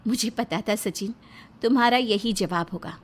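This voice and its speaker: A woman's voice: medium pitch, moderate pace, natural voice. A woman voicing a defiant, aggrieved wife in a family moral-story cartoon, assertive and dramatic.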